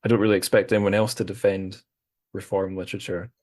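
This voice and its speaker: A man's voice: deep voice, little pitch movement, quick pace, darkish tone, no room sound, clean studio sound. A man hosting a casual group conversation on his podcast, asking questions and moderating. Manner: inquisitive, moderating the talk. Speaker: podcast host.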